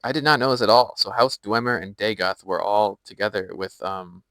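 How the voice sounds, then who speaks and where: A man's voice: on the low side, moderate pace, pushed and bright, clear, resonant tone, no room sound. A young American man chatting casually on a live stream while playing a game and reading its lore aloud. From United States.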